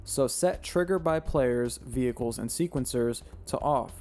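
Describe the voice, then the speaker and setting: A young man, slightly hoarse from losing his voice, narrating a step-by-step game-building tutorial as a voice-over, reading off settings one by one. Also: mid-range voice, a little slow, darkish tone, soft background music.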